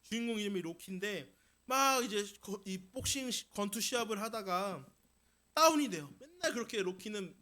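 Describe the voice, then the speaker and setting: High-pitched bright male preacher. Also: lively intonation, moderate pace. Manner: emphatic, rising into forceful declarations then easing into explanation. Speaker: pastor preaching. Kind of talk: Sunday sermon with storytelling and exhortation.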